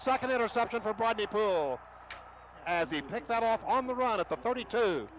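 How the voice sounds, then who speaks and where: A man calling a football game play by play for radio, rising in pitch and volume on big plays. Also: animated, brisk, fairly bright tone. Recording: noisy stadium broadcast.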